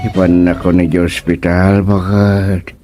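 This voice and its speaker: A man's voice: low voice, flat delivery, slow pace, over music. An actor with an Ilocano Filipino accent playing an ailing, grumbling older village councilman in a radio comedy drama. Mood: weary.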